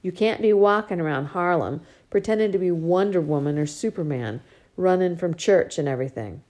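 A woman's voice: fairly low pitch, expressive, a little fast, darkish tone, lightly acted. A woman reading a loving but stern middle-aged father in an audiobook, scolding then tender, with folksy slang. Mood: coaxing. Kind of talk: audiobook character voice.

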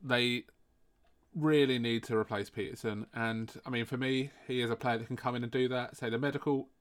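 A man's voice: medium pitch, steady tone, a little fast, smooth. Sniffly man hosting a mock draft. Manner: chatty, apologetic asides. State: sniffly from hay fever. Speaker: podcast host running a mock draft. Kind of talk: casual chat.

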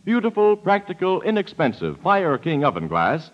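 A male announcer in the 1940s radio advertising style. He delivers an emphatic scripted pitch with lively intonation, on a muffled old recording. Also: moderate pace. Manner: emphatic, precisely phrased sales pitch.

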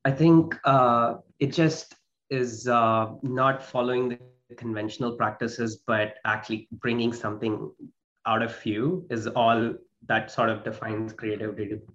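A man's voice: medium pitch, steady tone, measured pace, a bit bright, dull audio. A man from southern India, a rock singer speaking as an interview guest in a hesitant, searching way. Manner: hesitant, full of "you know" fillers. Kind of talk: casual interview.

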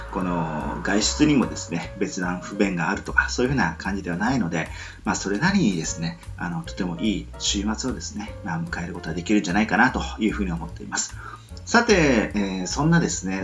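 A man with a very low voice hosts a casual solo radio show over music. His intonation swings widely as he rambles politely to listeners. Phrases come haltingly, padded with small fillers. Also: fairly bright tone.

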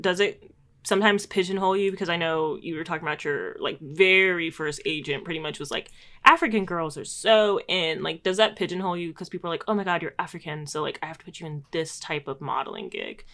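Young woman host, lowish voice, rambles thoughtfully, laughs a lot. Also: pushed and bright. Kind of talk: casual podcast chat.

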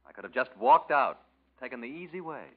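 A man's voice: mid-range voice, animated, a little fast, close to an everyday voice. A man playing a sarcastic cynical leading man. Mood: scornful. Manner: sarcastic, mocking. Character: cynical young man, scornful and cold. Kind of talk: 1940s radio acting. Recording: dull old radio recording.

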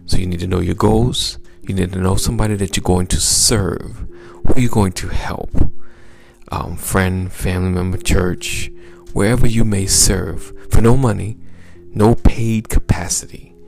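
A man hosting a motivational podcast as a mindset coach, with a smooth, gravelly low voice and upbeat, repetitive exhortations. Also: steady tone, unhurried, a little mellow, soft background music.

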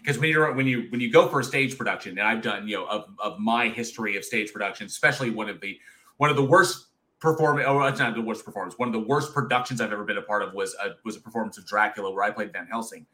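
A man's voice: on the low side, fast, bright, forceful tone, low-quality recording. A man in his thirties co-hosting a casual live chat show, candid and swearing freely, often restarting his sentences. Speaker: co-host of horror review show.

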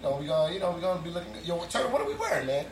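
This is a man guesting on a casual podcast, an actor trading behind-the-scenes stories. His voice sits a bit high and swings widely as he acts out quotes and breaks into laughter. A light hiss hangs under the recording. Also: very fast. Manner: animated storyteller, quotes others, laughs often. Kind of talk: casual chat.

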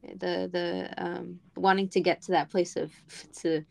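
A young woman co-hosting a casual chat talks earnestly and at length, with frequent fillers. Her voice sits a bit low for a woman. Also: level tone, unhurried, creaky, a little mellow. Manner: earnest, talkative, many fillers. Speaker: co-host.

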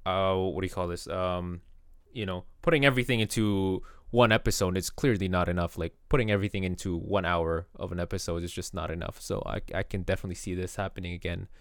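A man hosting a podcast, talking casually in a halting way with many restarts. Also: deep voice, steady tone, clear, resonant tone, no echo, studio quality. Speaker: podcast host. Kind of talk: casual chat.

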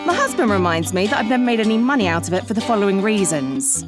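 Actress playing a wry, animated sardonic woman. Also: medium pitch, fast, over music, natural voice. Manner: dry, sarcastic, exasperated. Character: wry, sardonic woman writer aboard Noah's Ark. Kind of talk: comedy audio drama acting.

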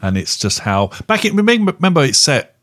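A man's voice: on the high side, big pitch swings, brisk, fairly bright tone. A man hosting a podcast, chatting casually about films in an informal, matey way. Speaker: podcast host. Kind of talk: casual film chat.

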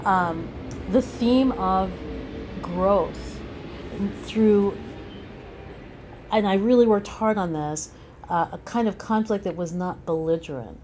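A woman's voice: mid-range voice, slow pace, long pauses, darkish tone, a little hiss or hum, poor audio. A woman speaking as an interview guest about their creative work, reflective and earnest, developing long thoughts. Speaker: teacher.

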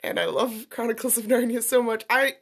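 A woman's voice: a little high, steady tone, fairly quick, breathy edge. Chatty woman with a stuffy cold. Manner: chatty, storytelling, self-teasing. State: congested with a cold. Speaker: podcast co-host. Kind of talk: casual chat.

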